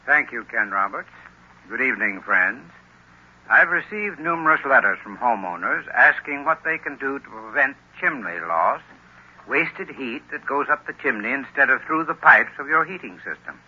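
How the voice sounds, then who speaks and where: A man's voice: medium pitch, expressive, normal speed, slightly rough. A man with an American accent giving formal, instructive, scripted advice in a 1930s radio advertisement style. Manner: formal, instructive, carefully paced phrasing. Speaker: heating expert in a radio advertisement. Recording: muffled old radio broadcast.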